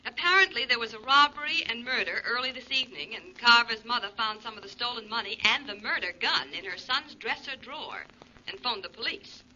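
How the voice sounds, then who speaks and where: Chatty sleuth's girlfriend, 1940s radio; crisp forward voice, dull vintage sound. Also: fairly high pitch, a little fast, sharp tone. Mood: confiding. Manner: eager, gossipy. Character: excited, chatty young woman, detective's girlfriend. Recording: muffled 1940s radio recording.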